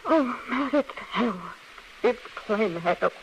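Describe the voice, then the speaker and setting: A woman playing a frightened, evasive frontier wife with a halting, nervous delivery in an old radio western drama. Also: fairly high pitch, animated. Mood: distressed. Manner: halting, nervous, breaking off and repeating. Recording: noisy vintage radio recording.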